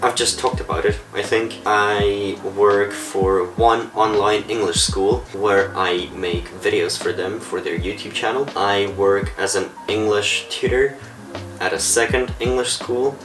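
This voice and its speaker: A young man with a deep, low voice chats easily through viewer questions, mixing in casual asides. The room has a noticeable echo, and background music plays clearly throughout. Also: takes it slowly. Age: young adult. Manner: relaxed, chatty, switches between Russian and English. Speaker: vlogger answering viewer questions. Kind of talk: casual Q&A vlog talk. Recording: roomy echo with music behind.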